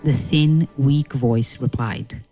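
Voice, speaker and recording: Female narrator for a dark fairy-tale audio play: slow, deliberate delivery over soft music. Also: rumbling low, slightly rough. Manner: measured, deliberate storytelling.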